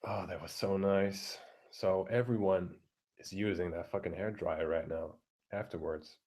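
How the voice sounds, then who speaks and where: A man with a very low, deep voice holds his volume down so the neighbors won't hear through the walls. His pitch stays flat and monotone while he rants crudely and bluntly, swearing freely. He stumbles along with lots of fillers and restarts. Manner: keeps his voice down, talking quietly; halting, many fillers and restarts. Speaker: vlogger. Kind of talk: casual profane rant.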